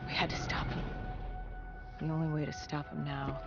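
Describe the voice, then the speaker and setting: An actor playing a grim, battle-worn soldier in a video game, recounting an apocalypse in a grave, halting, deliberate way. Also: medium pitch, little pitch movement, creaky, muffled recording, loud music behind the voice, natural voice. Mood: grim, haunted. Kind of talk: dramatic cinematic narration.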